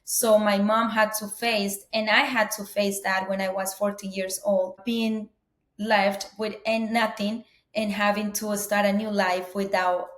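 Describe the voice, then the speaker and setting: A woman's voice: mid-range voice, little pitch movement, a little slow, fairly bright tone. A woman from Colombia, telling her story earnestly as a guest, often saying "you know". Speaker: guest, business owner. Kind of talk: interview conversation. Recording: roomy echo.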